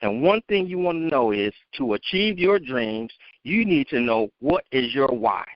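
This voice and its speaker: A man's voice: mid-range voice, expressive, moderate pace, dry recording. A man giving an unscripted motivational talk on a conference call, addressing listeners directly and emphatically. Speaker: motivational speaker and author. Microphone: phone conference line. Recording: dry, dull lo-fi conference call.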